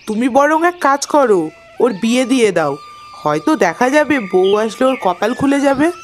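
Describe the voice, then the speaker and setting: A worried village mother in a cartoon fable, played by a woman. Her high voice moves with lively intonation as she frets and urges, with faint music underneath. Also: normal speed, natural voice. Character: worried middle-aged village mother, practical, scheming helpfully. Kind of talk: cartoon moral-tale character acting.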